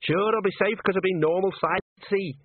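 A man's voice: high-pitched, normal speed, phone-quality sound, slight background noise, pitched above the performer's own voice, natural voice. A man voicing a tiny, tough, cocky gnome in an audiobook, blunt, colloquial and breezily unbothered by danger. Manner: blunt, matter-of-fact, colloquial. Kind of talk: audiobook character voice. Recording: band-limited, radio-like sound.

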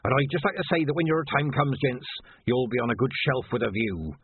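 A man's voice: mid-range voice, expressive, a little fast, husky, no echo, phone-quality sound, voice pushed up. A man voicing an eccentric, sulky male gravedigger who lives in the crypts and talks in a wheedling, pleading way. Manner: wheedling, pleading, faux-old-fashioned speech.

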